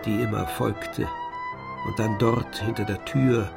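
A man narrating a literary radio drama from a script in a measured storytelling manner. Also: on the low side, steady tone, vocal fry. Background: faint music.

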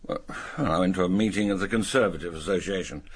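An actor playing a blustering, dismissive older English country colonel in a radio drama. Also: male voice, sits low, quick pace, breathy edge. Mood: indignant, defensive.

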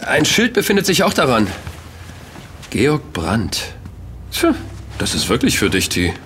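Casting: young man, curious best friend in an audio drama, mid-pitched, animated conversational delivery. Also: measured pace, a little hiss or hum. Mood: easygoing. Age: young adult. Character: young male student, affable, inquisitive best friend. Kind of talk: German audio drama dialogue.

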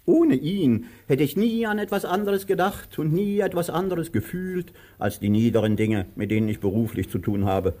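Pompous older master, wildly swooping delivery. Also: male voice, fairly high pitch, expressive, normal speed, few pauses, mellow. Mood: wistful, plaintive. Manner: grandiloquent, theatrical, mercurial. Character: pompous older master, cruel, theatrical, self-pitying. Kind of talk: German radio play acting.

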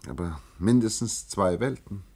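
Male stranger from another world, very low voice, husky, weary, disillusioned, German sci-fi radio play. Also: lively intonation, normal speed, darkish tone. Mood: weary, detached. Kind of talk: German science-fiction radio drama dialogue.

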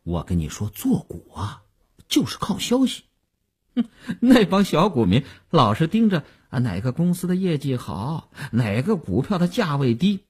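A man's voice: lowish voice, sing-song, husky, full character voice. A man playing a smug, boastful stock speculator who cajoles his partner in chatty audiobook dialogue. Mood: smug, excited. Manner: chatty, cajoling, with drawn-out particles and chuckles.

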